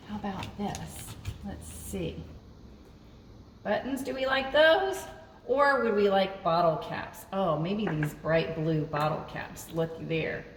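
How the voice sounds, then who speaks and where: Slow-paced woman in an echoey room. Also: fairly low pitch. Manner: sing-song, thinking aloud to young children. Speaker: children's librarian hosting storytime. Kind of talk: children's craft and game demonstration. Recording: echoey, reverberant room, distant mic, faint noise.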